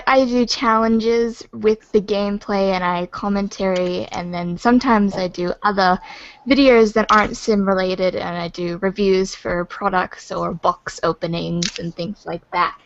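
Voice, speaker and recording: A woman's voice: mid-range voice, steady tone, a little slow, highs cut off, a little hiss or hum. A young woman with an Australian accent tinged with American, a guest on a video call who giggles often between answers. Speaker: guest, gaming video maker. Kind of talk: casual video call chat.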